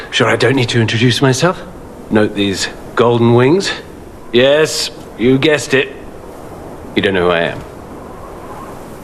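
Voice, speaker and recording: A pompous show-off treasure hunter for a game, theatrical, pitch all over the place. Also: male voice, fairly bright tone, slight background noise. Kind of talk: video game voice acting.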